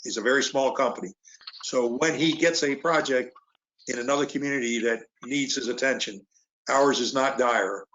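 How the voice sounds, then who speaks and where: A man's voice: medium pitch, normal speed, fairly bright tone. A man giving a rambling report at a committee meeting over a video call, with frequent fillers and restarts. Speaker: work group chair giving a report. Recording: muffled video call audio.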